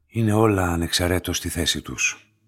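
An enigmatic laboratory master in a radio drama, a man with a low voice. He is cold and controlling, giving terse orders with detached authority. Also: fairly bright tone, soft background music. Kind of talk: radio play acting.

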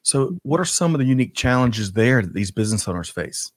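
A man's voice: medium pitch, sing-song, brisk. A man moderating a webinar panel, upbeat and affirming as he passes questions to guests. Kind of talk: hosting a panel discussion.

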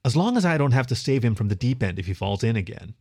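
A man's voice: mid-range voice, sing-song, quick pace, darkish tone, no room sound, studio quality. A man voicing a haughty, commanding preteen ferret girl in a storybook, with a huffy manner.

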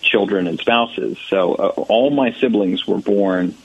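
A man's voice: lowish voice, unhurried, highs cut off, background noise. An American man in his thirties, a church ministry director answering interview questions thoughtfully, with many fillers and restarts. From United States.

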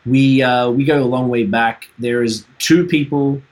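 A man in his thirties with a Trinidadian accent, hosting a casual podcast chat, relaxed and laughing, swearing freely. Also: mid-range voice, measured pace. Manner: laid-back, swears freely, laughs often. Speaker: podcast host and musician.

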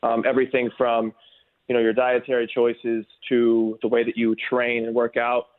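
Man, wellness coach guest, earnest, flat voice, tinny remote line. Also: medium pitch, moderate pace. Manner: earnest, full of fillers. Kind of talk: casual interview talk.

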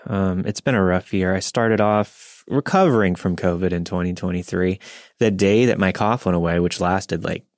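A man's voice: low voice, normal speed, no echo, studio quality. A man in his thirties chatting casually as a podcast host. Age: 30s. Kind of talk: casual chat.

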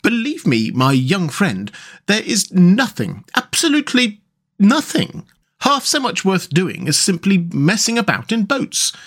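A man's voice: high-pitched, sing-song, moderate pace, a bit bright, pitched above the performer's own voice, heavily acted. A man voicing a cheerful, dreamy, boat-loving water rat from a storybook, chatty and rambling with enthusiasm. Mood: dreamy rapture.

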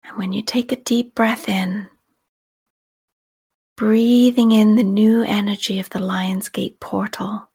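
A woman leading a guided meditation in a soft, often whispery voice, calm and soothing, with long unhurried pauses. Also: mid-range voice, husky. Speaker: meditation guide and podcast host. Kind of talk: guided meditation, slow scripted narration.

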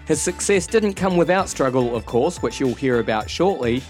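A man hosting a podcast, reading a measured introduction of his guest. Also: medium pitch, animated, a little mellow, soft background music. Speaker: podcast host.

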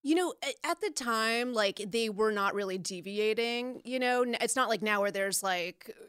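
A woman comedian who grew up in Jakarta, chatting excitedly as a podcast guest. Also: fairly high pitch, moderate pace, clear, resonant tone, dry recording, clean studio sound. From Jakarta, Indonesia. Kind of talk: casual chat. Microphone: headphones and microphone.

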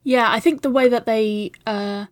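A woman's voice: fairly high pitch, steady tone, measured pace, no echo, clean studio sound. A woman guest hosting a casual film discussion in a thoughtful, agreeable and descriptive way. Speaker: podcast guest host.

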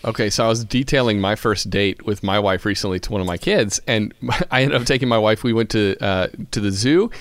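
A man's voice: on the low side, fairly quick, few pauses, no room sound. A man co-hosting a podcast, chatting casually and putting questions to a guest. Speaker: podcast co-host. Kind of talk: casual chat, interviewing.

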